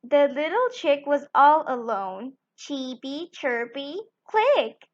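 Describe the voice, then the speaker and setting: High-pitched woman reading a children's story slowly. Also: lively intonation, lo-fi sound. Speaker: children's storytime channel host. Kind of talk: read-aloud children's picture book narration.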